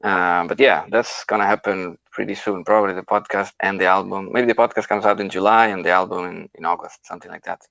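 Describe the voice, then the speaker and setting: A man's voice: deep voice, steady tone, fast talker, creaky, hardly any pauses, a bit bright, no room sound, dull audio. A man co-hosting a casual live audio chat and moderating speakers in an easygoing, somewhat hesitant way.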